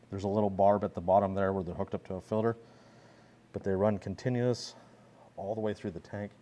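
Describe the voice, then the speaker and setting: A man's voice: sits low, dark tone, slight background noise. A man giving a casual hands-on walkaround of equipment he built, explaining details while filming with a handheld phone in a workshop. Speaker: pressure washing skid builder.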